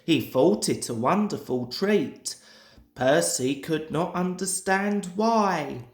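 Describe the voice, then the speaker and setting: A man's voice: on the high side, animated, takes it slowly. A young man reading a children's story aloud as a storyteller, in scripted narration. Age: young adult. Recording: echoey room.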